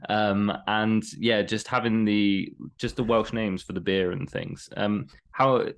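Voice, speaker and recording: Co-host, deep voice, easygoing chat, trails into his questions. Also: fairly even intonation, unhurried, smooth. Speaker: podcast co-host. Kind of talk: casual podcast chat.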